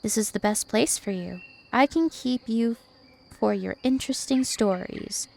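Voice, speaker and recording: Slow, seductive vampire princess, acted by a woman. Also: a little high, gravelly, a little mellow. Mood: possessive, commanding. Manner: slow, deliberate, teasing and possessive. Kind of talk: ASMR roleplay.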